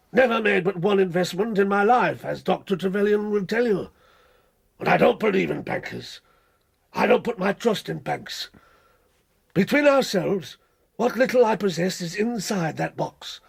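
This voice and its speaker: A middle-aged English gentleman of the Victorian era with jangled nerves. His voice is very high and gravelly, agitated and indignant, stammering as he blusters and evades in a radio drama. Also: normal speed, full character voice. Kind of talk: radio period drama acting.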